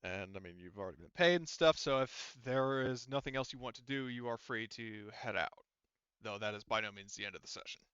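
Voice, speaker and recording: A man's voice: medium pitch, fairly quick, fairly bright tone, smooth, no room sound, lo-fi sound. A man casually running a tabletop game as game master, explaining things in a rambling way.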